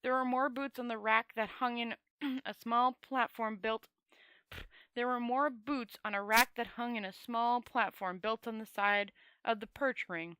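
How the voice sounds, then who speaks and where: A woman's voice: fairly high pitch, fairly even intonation, unhurried, sharp tone, clean tone, no room sound. A woman storyteller reading a book aloud in an informal amateur style, often stumbling over words and correcting herself. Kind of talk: amateur read-aloud audiobook narration.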